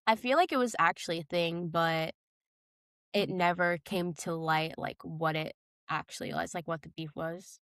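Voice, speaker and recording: A woman's voice: on the low side, moderate pace, fairly bright tone, no echo, clean studio sound. A young adult woman co-hosting a podcast, chatting casually about pop culture in an informal, slangy and playful way. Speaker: podcast co-host.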